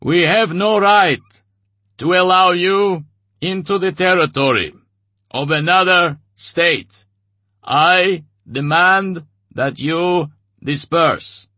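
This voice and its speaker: A burly male commander speaks over a desperate crowd in a booming, officious voice, as a male narrator puts him on. The delivery is loud, high for the reader and deliberately slow. The sound is dry and close. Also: no room sound, heavily acted. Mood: commanding.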